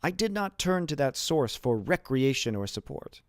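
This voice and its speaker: A man voicing a learned, principled old imprisoned abbé in a deliberate, formal, lecturing manner for an audiobook. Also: medium pitch, very animated, dry recording, studio quality, voice pushed up. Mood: measured, explanatory. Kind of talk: audiobook character reading.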